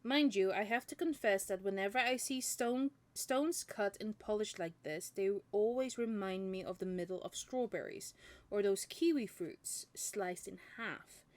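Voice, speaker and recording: A woman's voice: medium pitch, measured pace, natural voice. A woman reading the part of a courteous, curious, learned young male customer in a novel. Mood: musing.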